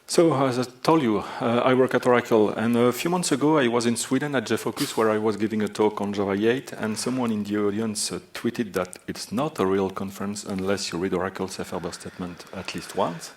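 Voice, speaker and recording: A man with a Belgian French accent presents a technical session from a large conference stage into a stage microphone. His voice sits a little low, and he pauses often with filler sounds as he explains. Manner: hesitant, many filler sounds. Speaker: conference speaker.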